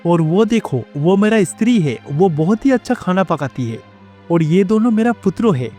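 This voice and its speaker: Man acting calm god as family man, dark mellow tone, kindly, storybook, faint music. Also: high-pitched, moderate pace. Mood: puzzled. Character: calm, kindly god living as husband and father. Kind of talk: storybook character dialogue.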